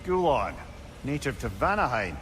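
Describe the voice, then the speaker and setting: A man's voice: mid-range voice, big pitch swings, moderate pace, fairly bright tone. An actor playing a talkative, wry and learned male companion in a video game, genial and inquisitive. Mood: wry, curious. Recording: clear ambient noise behind.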